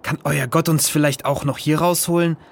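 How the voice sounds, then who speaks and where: A man's voice: fairly high pitch, steady tone, moderate pace, creaky, slight background noise. A man playing a sceptical, food-mad, lazy boy in a children's audio drama, blunt and doubting.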